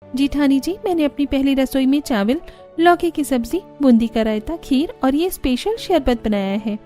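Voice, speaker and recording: A woman's voice: high voice, animated, moderate pace, warm tone, faint music behind. A woman voicing a dutiful young new bride in a cartoon, speaking politely and earnestly.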